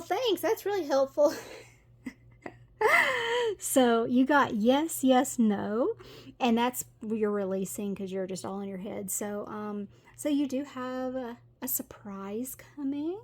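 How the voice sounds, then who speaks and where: A woman's voice: fairly high pitch, takes it slowly, a little mellow. Giggly Southern woman from Tennessee. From Tennessee, USA. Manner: chatty, giggly, rambling with many fillers. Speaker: tarot reader. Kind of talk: casual tarot reading to camera.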